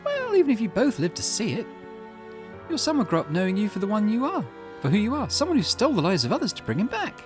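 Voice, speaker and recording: A brash young woman, played by a man, pitched high and swooping wildly. She is a visual novel character who taunts her rivals and presses them bluntly with pointed questions. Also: wildly swooping pitch, fast talker, music in the background. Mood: blunt, challenging.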